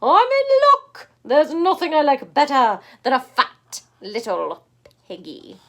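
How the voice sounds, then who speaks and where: A woman voicing a greedy, gleeful male shepherd from a storybook, loud and exclamatory. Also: high voice, huge pitch swings, slow pace, heavily acted.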